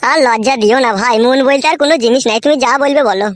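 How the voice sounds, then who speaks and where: A man's voice: very high voice, fast talker, no room sound. A man voicing a downtrodden, naive young village husband in a comic cartoon, plaintive and deferential. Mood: woeful, resigned. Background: music.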